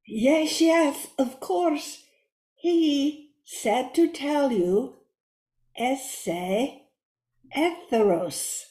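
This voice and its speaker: An earnest, easily awed woman, acted by a woman in a radio play. Her voice is high and flustered, with breathless hesitations, and it echoes around the room. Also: expressive, drawn-out words, mellow, heavily acted.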